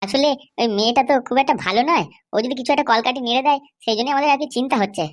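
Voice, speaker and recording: A woman's voice: sits high, very fast, close to an everyday voice. A woman voicing a scheming, eager political henchwoman in a comedy cartoon, deferential yet assertive.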